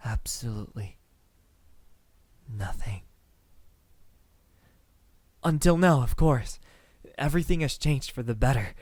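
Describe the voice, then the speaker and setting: A man playing a lonely, centuries-old vampire suitor in an ASMR roleplay, speaking softly and haltingly with tender words that slip into whispers. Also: medium pitch, lively intonation, no echo. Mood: melancholy, then adoring, pleading. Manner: soft-spoken, halting, tender, slipping into whispers.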